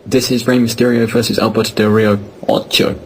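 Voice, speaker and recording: A man's voice: medium pitch, flat delivery, fairly quick, noisy background. A man teasing his friends sarcastically in a casual podcast group chat. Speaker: podcast panelist.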